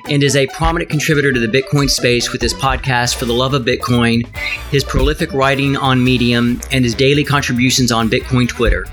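A man's voice: medium pitch, a bit bright. A podcast host man with a mid-range voice reads his scripted introduction evenly. Faint music plays under him. When he turns to ask his guest a question he loosens up, with small fillers. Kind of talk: scripted intro and ad read, then casual interview.

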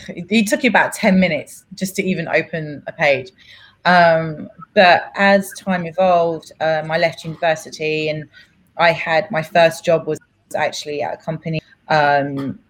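A woman's voice: sits low, poor audio. Chatty woman with Greek roots. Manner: chatty, laughs often, many fillers. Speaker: guest. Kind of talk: casual interview chat.

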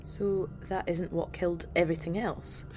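A woman's voice: fairly low pitch, level tone, normal speed, background noise, natural voice. A woman playing a jittery, queasy abductee. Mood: anxious. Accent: British. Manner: anxious, sarcastic. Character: anxious nauseous young woman named Jenny, abductee. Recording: music score underneath.

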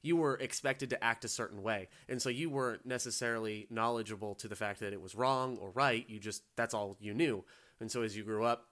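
A man with a fairly low voice hosts a relaxed podcast conversation. He speaks in a measured, thoughtful way, building his points slowly and affirming his guest as he goes. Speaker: podcast host. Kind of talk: casual conversation.

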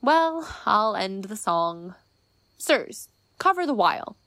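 Woman doing mild obliging lord, radio shakespeare, slow talker, dry recording. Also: mid-range voice, sing-song, a bit bright, dry recording, full character voice. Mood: modest, reluctant. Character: obliging, modest singing lord attending a duke. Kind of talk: radio play Shakespeare acting.

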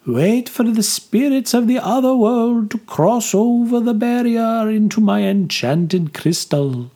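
A man voicing a mysterious woman fortune-teller with a husky voice and a strange accent, speaking in slow, solemn pronouncements. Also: high voice, animated, warm tone, voice pushed up, full character voice. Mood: mystical.

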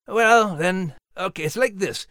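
A man's voice: high-pitched, unhurried, fairly bright tone, no echo, clean studio sound, voice pushed up. A man voicing a good-hearted male animal adventurer with a Scottish accent, hesitant and folksy, in a children's story show. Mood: hesitant, earnest.

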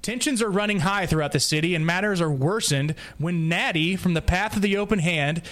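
A man with a somewhat high-pitched voice reads from a prepared summary for a podcast. He stumbles here and there and slips in informal asides as he goes. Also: a little fast, fairly bright tone. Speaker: podcast guest presenter. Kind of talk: reading a prepared plot summary.

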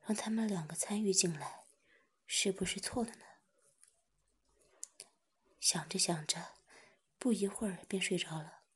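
Woman, whispered story reading, very breathy, slow, mid pitch. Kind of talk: first-person story reading.

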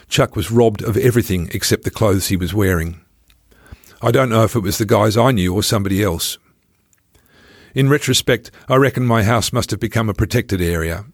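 A man's voice: fairly low pitch, dry recording, studio quality. A man reading his own travel memoir aloud as scripted first-person narration.

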